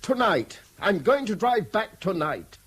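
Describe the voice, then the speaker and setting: Harried middle-class Englishman, articulate and lively in inflection, radio play with clear background noise. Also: high voice, unhurried, exaggerated voice. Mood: agitated, outraged. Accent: British English. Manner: articulate, theatrical turns of phrase. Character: educated middle-class Englishman, wry, increasingly harried and anxious. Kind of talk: naturalistic radio drama acting. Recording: noisy radio drama with background ambience.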